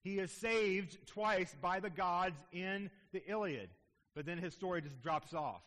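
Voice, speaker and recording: Guy with a high voice giving a sermon, explaining the passage step by step, long hall echo behind him. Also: measured pace, a bit bright. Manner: teaching, explanatory with frequent "okay?" asides. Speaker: pastor.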